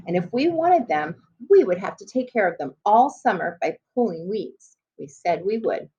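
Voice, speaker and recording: Female primary teacher, medium pitch, explanatory read-aloud delivery aimed at young pupils; dull, lo-fi recording. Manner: explanatory, pauses to question and address listeners. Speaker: elementary school teacher. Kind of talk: read-aloud reading lesson for children.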